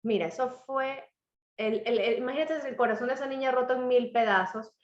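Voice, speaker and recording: A woman with a slightly hoarse throat, telling a personal story to a live audience in a reflective, motivational way. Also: high-pitched, fairly quick, roomy sound, dull audio. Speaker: author and speaker.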